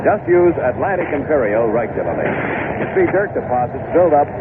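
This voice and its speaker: A man reading a scripted 1960s radio commercial as an orderly, explanatory sales pitch. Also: very animated, brisk. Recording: tinny, very noisy 1960s radio.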